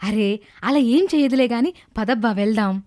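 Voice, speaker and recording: An actress voices a carefree young village woman in an animated moral tale. She chats breezily and coaxes her friend along in a very high voice. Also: moderate pace, lightly acted. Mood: chatty, coaxing.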